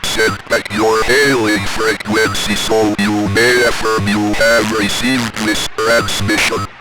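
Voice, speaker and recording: Loud electronic music plays around an automated announcement machine voiced by a man. The voice is lowish, impersonal and very slow, setting out each word in a stilted way. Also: flat delivery. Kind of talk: automated message.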